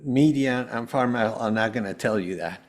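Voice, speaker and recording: A man from Venezuela, a podcast guest, telling a personal story in a halting way, often repeating words. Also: medium pitch. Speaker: podcast guest, holistic health coach.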